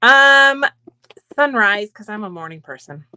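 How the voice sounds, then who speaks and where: A friendly woman appears as a guest on a crafting show and explains her work with eager enthusiasm. Her voice has an ordinary middle pitch, and the recording is dry, with no room echo. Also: lively intonation, unhurried, pushed and bright, clear, resonant tone. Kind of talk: casual live-stream chat.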